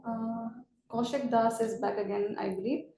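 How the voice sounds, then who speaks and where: A woman moderating a live webinar, casually relaying audience questions in a halting, filler-heavy way. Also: on the high side, steady tone, dark tone. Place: indoor room, webinar call. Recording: echoey room with faint background noise.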